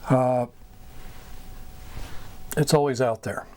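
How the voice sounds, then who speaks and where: A man's voice: medium pitch, fairly even intonation, takes it slowly, mellow, slight background noise. An American man giving an informal explanatory talk as a hobbyist teacher. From United States. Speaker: amateur radio hobbyist teaching beginners.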